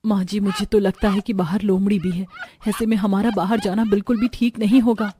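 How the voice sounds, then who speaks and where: A medium-pitched female voice, an actress playing a poor young mother in a cartoon. She sounds worried yet patient, gentle and respectful. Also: fairly even intonation, brisk, a little hiss or hum, natural voice.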